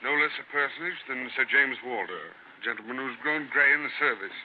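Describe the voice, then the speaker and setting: A man's voice: mid-range voice, a little fast, lightly acted. A man acting a stout, fussy British government official in an old-time radio drama, speaking in a pompous, grave, formal way. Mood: formal, authoritative. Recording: narrow-band noisy vintage radio recording.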